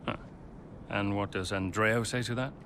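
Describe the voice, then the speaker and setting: A man's voice: fairly low pitch, steady tone, normal speed, noisy background, lightly acted. A man playing an earnest, harried racing team colleague in a video game cutscene, firm but placating. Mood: businesslike, wary.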